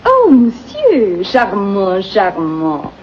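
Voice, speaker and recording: A coaxing French maid. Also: female voice, medium pitch, drawn-out words, exaggerated voice. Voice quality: broken English, coaxing and flattering. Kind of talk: classic film acting. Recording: dull old film soundtrack with faint hiss.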